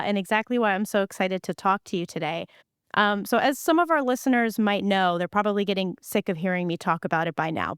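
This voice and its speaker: A woman's voice: medium pitch, clean tone, no room sound, studio quality. A woman hosting a podcast interview, an enthusiastic researcher who introduces her guest and asks questions.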